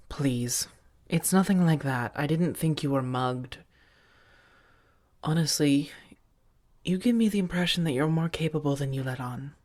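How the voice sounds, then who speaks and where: Woman acting a low, soft-spoken, protective vampire roommate. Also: measured pace, long pauses. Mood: defensive, worried. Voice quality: soft, breathy, often dropping to a whisper. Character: worried, protective female vampire roommate, wealthy and affectionate. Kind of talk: soft-spoken ASMR roleplay.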